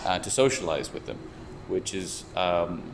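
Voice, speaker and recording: A young man working as a VR researcher and developer, explaining his views in a measured, thoughtful way with frequent restarts. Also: deep voice. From United States. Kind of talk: explanatory interview talk. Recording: steady air conditioner hum behind. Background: air conditioning hum.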